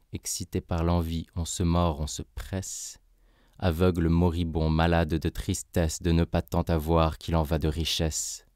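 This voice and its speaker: Very low-voiced man reciting poetry slowly. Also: dark tone, dry recording, clean studio sound. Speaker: poetry reader. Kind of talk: solemn scripted poetry recitation.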